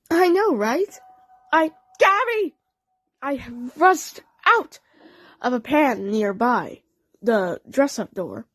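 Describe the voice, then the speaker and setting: High-voiced woman narrating a story. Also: no echo. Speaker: narrator and voice actor. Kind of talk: dramatic first-person story narration.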